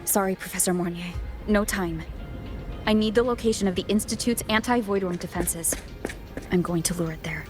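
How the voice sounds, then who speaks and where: A woman's voice: medium pitch, normal speed, roomy sound, lightly acted. A woman playing a determined, level-headed young video game heroine, speaking in a resolute, terse, thoughtful way. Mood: brisk, determined. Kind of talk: dramatic video game voice acting. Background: music.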